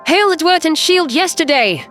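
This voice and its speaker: A man voicing a stern female starship captain who barks orders forcefully in a sci-fi audio story. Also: very high-pitched, animated, normal speed, faint music behind, voice pushed up, exaggerated voice. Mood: angry, commanding.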